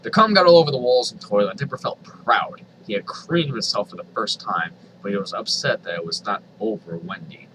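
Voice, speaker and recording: A man's voice: fairly low pitch, a little fast, few pauses, noisy background. A man reading a story aloud dramatically, with exasperated, sardonic asides. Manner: exasperated, sardonic, swears freely. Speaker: reader of online fan fiction.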